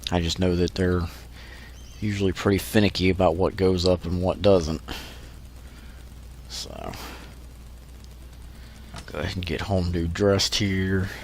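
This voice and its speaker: A man's voice: low-pitched, steady tone, measured pace, pauses often, a little hiss or hum. A man with a stuffy nose from allergies, giving laid-back, joking commentary over gameplay. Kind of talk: let's play commentary.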